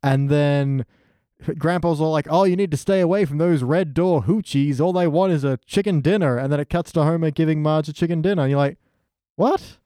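A man's voice: on the high side, a little fast, mellow, no room sound, clean studio sound. A man chatting casually as a podcast panelist, reviewing with comic exasperation and acting out lines as he goes. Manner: acts out quotes in exasperated, incredulous asides. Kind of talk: casual chat, comedic episode review.

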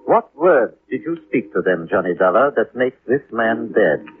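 Insistent German official, German accent, stilted formal phrasing, 1950s radio drama, narrow noisy recording. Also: male voice, lowish voice, very animated, moderate pace. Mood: probing.

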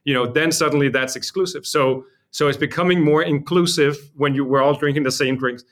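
A man's voice: fairly high pitch, fairly quick, a bit bright. Conversational man from Denmark, explaining informally. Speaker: interview guest, company founder. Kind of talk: conversational interview.

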